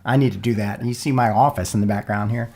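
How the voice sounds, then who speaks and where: A man's voice: on the low side, fairly even intonation, fast talker, darkish tone. A man hosting a podcast in a casual, folksy way, sounding a little froggy from a cold. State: froggy, getting over a cold. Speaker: podcast host. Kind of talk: casual sponsor interview podcast.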